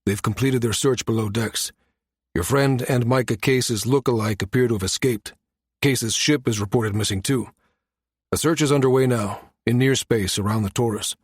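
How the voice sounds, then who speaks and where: A man plays a firm station security chief in a science fiction audiobook. He speaks with measured, matter-of-fact authority at a middle male pitch. The recording is very dry. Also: breathy edge, no room sound, natural voice. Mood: grave. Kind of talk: audiobook character dialogue.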